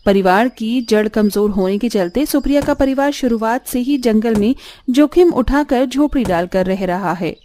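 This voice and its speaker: A woman's voice: on the high side, moderate pace, warm tone, slight background noise. A woman giving dramatic scripted narration as the storyteller of a tale.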